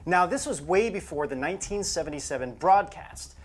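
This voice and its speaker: A man's voice: high voice, animated, a little fast. A man co-hosting a show, narrating scripted material in an emphatic, conversational storytelling way. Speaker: show co-host.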